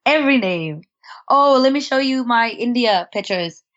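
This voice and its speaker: A woman's voice: on the high side, animated, normal speed, fairly bright tone. Casual woman rambling through travel stories, laughs a lot, kinda muffled lo-fi audio. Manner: chatty, laughs often, many fillers and restarts. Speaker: interview guest, traveler. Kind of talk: casual conversational storytelling.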